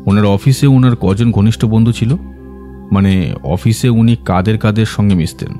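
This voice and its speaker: Radio mystery detective male actor, deep-leaning warm tone, unruffled, courteous interrogator. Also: soft background music, natural voice. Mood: probing, composed. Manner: polite, measured, probing. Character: composed, courteous male private detective questioning witnesses. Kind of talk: scripted audio drama acting.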